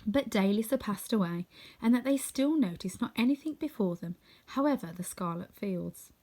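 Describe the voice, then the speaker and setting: A woman narrating a children's storybook in an expressive, scripted reading. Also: mid-range voice, moderate pace. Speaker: storybook reader.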